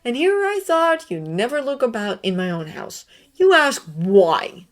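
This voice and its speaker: A woman's voice: on the low side, expressive, slow pace. A woman voicing an anguished, bitter grown man, a brooding teacher, with intense pleading outbursts.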